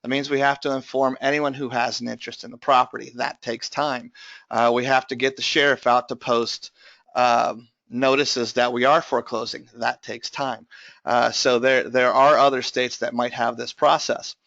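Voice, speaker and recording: A man's voice: medium pitch, little pitch movement, normal speed, vocal fry, a bit bright, no echo, dull audio. A man presenting an investing webinar, his voice strained from recent bronchitis, explaining steadily with many filler uh's. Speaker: tax lien investment presenter.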